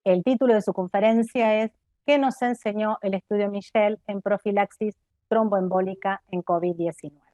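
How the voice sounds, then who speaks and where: A woman's voice: mid-range voice, steady tone, brisk, warm tone, no echo. A woman with an Argentine Spanish accent coordinating a webinar, introducing the speaker formally with frequent hesitant fillers.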